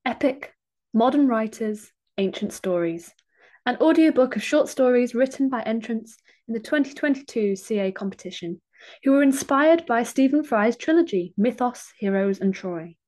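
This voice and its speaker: A woman with a fairly high voice hosts a podcast. She reads a prepared introduction from a script. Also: animated, moderate pace, dark tone. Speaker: podcast host.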